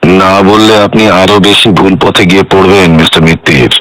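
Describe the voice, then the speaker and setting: Guarded defensive son in a radio play, deep voice, dry close sound. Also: male voice, steady tone, moderate pace, a bit bright, no room sound, poor audio. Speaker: actor in a radio drama. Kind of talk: radio drama acting.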